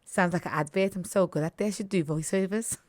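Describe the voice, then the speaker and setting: Low-pitched woman presenting on radio. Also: fast talker. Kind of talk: radio show introduction. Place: radio studio.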